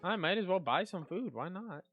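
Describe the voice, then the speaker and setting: A man giving casual gameplay commentary, informally reacting and thinking out loud as he plays. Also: sits high, lively intonation, normal speed, narrow, tinny audio. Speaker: gaming content creator. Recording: game music behind the voice.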